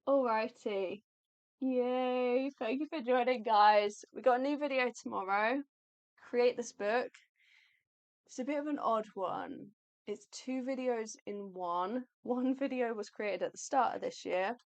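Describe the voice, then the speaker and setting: A woman co-hosting an art livestream in a chatty, upbeat, rambling way, her voice going slightly hoarse. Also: on the high side, measured pace, lo-fi sound. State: losing her voice. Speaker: livestream co-host, artist. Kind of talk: casual live chat.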